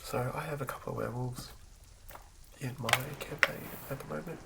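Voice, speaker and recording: A man reading in a hushed, breathy ASMR whisper with a halting, measured delivery. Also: medium pitch, very noisy. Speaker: host of an ASMR channel. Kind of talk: ASMR reading of game rulebook.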